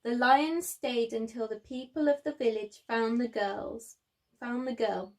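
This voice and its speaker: Slow, high-voiced woman preschool storyteller. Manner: animated emphasis, simple child-directed phrasing. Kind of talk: expressive children's story reading and talk.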